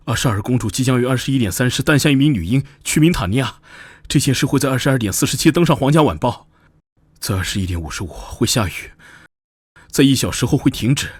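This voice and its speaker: A man playing a frightened, earnest young porter who pleads desperately in an animated comic dub. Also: medium pitch, slightly rough, no echo, clean studio sound. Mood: anxious.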